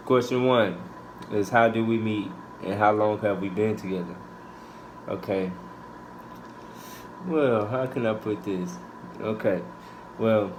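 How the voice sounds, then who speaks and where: A man, casually answering Q&A questions with his partner in a laid-back, halting way full of fillers. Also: on the low side, slight background noise, poor audio. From Houston, Texas. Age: 20s. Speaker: co-host of a couple's channel. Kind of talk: casual Q&A chat.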